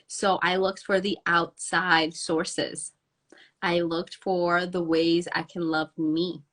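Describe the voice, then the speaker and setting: A young woman, raspy from a cold, hosting a live stream and effusively greeting her viewers by name. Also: fairly low pitch, a bit bright. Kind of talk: live-streamed motivational talk.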